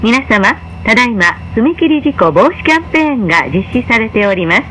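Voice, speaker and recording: Female announcer, slightly high voice, formal and measured, for scripted in-car train announcements. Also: sing-song, fairly bright tone, slight background noise, rough recording.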